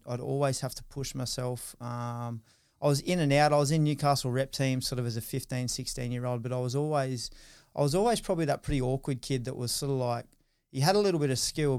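A man's voice: medium pitch, darkish tone, clean tone, no room sound, clean studio sound. A man in his thirties with an Australian accent, telling his life story as an interview guest in a rambling way, with many fillers and restarts. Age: 30s. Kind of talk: casual interview.

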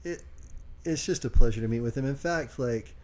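A man co-hosting a casual podcast, speaking quietly and hesitantly with lots of fillers. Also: mid-range voice, moderate pace. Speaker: podcast co-host.

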